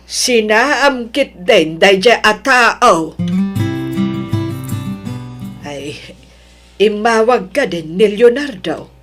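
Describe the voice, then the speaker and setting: A woman with an Ilocano accent playing a protective older mother, fretting and gently scolding in a melodrama. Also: medium pitch, lively intonation, very slow, pauses often, faint music behind. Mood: indignant, concerned. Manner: fretful, motherly, exhorting. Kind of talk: Ilocano radio-style melodrama acting.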